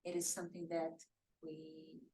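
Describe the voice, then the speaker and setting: A woman's voice: low-pitched, completely flat delivery, a little slow, creaky, mellow. A woman facilitating a security training workshop, talking things through and repeating words as she builds a point. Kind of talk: interactive training discussion.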